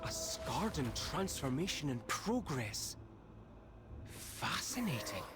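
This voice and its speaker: Eager, lively young dwarf. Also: male voice, fairly high pitch, takes it slowly. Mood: excited, fascinated. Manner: eager, inquisitive. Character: curious, bookish young male dwarf, eager grandson. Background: music.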